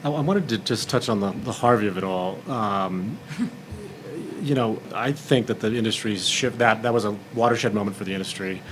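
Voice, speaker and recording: Man, low voice, candid producer at a roundtable, informal delivery, slight background noise. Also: moderate pace. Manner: informal, plain-spoken, explanatory. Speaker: film and TV producer on a panel.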